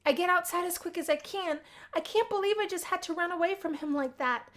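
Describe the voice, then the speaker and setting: A woman reading a visual novel aloud as its host, in an expressive storytelling style. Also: very high-pitched, fairly quick. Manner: acts out the characters' dialogue. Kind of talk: expressive storybook-like narration of a game.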